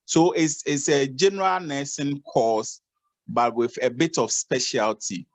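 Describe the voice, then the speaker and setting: A man's voice: mid-range voice, fairly even intonation, measured pace, dry recording. A man gives advice on a video call, often repeating and restarting his phrases. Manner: repetitive, restarts phrases, advisory. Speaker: nursing adviser on the call. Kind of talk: informal video call explanation.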